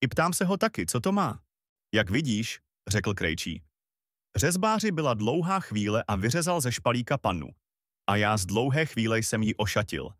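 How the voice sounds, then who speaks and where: A man's voice: medium pitch, very animated, a bit bright, dry recording, studio quality. A man voicing a clever, self-assured young journeyman in a storybook fairy tale. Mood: storytelling, clever. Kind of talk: storybook voice acting.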